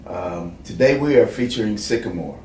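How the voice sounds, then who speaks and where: A man from Bermuda, raised in New Jersey, hosting an interview in a formal, halting way with many pauses. He is recorded from a distant mic in an echoey room with faint noise. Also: lowish voice, lively intonation, darkish tone. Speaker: host.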